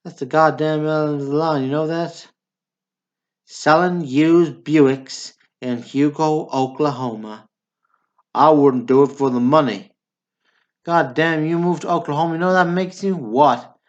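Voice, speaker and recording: A man's voice: fairly high pitch, unhurried, highs cut off, close to an everyday voice. Man acting a brash Texan hellraiser, drawling and profane. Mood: scornful. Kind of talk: stage-style radio drama acting.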